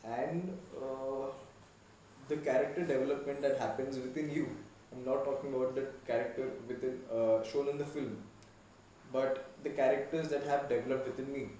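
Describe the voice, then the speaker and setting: A man's voice: medium pitch, dark tone. A young man from Goa with an Indian English accent, talking reflectively and rambling a little in a casual podcast chat. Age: young adult. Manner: reflective, rambling with many restarts. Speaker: podcast guest. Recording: echoey room, distant mic, faint noise.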